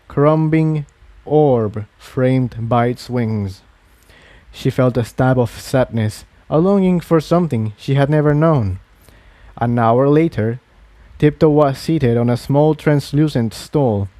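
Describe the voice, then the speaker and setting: A man's voice: mid-range voice, warm tone, no echo. A man reading a fantasy adventure story aloud as a scripted narrator. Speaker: narrator, fan fiction reader.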